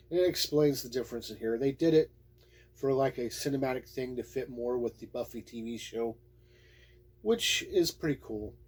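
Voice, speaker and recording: A man's voice: mid-range voice, darkish tone, slightly rough. A man giving a casual, unscripted review in a folksy, plain-spoken, laid-back way. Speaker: tabletop game book reviewer.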